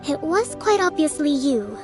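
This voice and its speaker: An actress playing a composed young female island leader in a video game, speaking in measured, formal phrases. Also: high voice, big pitch swings, over music. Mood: courteous, composed. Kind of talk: video game dialogue acting.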